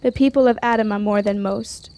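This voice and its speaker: An actress playing a proud, sharp-tongued young warrior maiden in a fantasy radio drama, speaking in formal, defiant phrases. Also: female voice, mid-range voice, fairly even intonation, a little fast, lightly acted. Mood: rousing, defiant. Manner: formal, archaic, declamatory phrasing.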